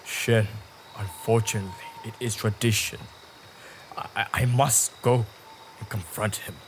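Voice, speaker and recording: A man's voice: on the low side, steady tone, unhurried. A man playing a devoted, honour-bound, protective warrior father in an audio drama, with an earnest, emotive delivery. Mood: resigned. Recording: noisy recording with background ambience.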